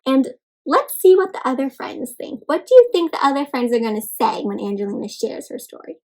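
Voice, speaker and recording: High-pitched woman reading to young children. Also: a little fast, low-quality recording. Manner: expressive, encouraging, asks the listener questions; addresses listeners as friends. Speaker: children's read-aloud host. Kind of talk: interactive storybook read-aloud for young children.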